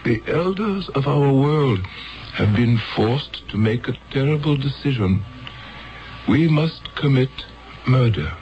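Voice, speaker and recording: An actor playing a grave, fatherly elder of a peaceful future world in solemn, deliberate 1970s radio drama style. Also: mid-range voice, lively intonation. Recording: dull 1970s radio broadcast recording.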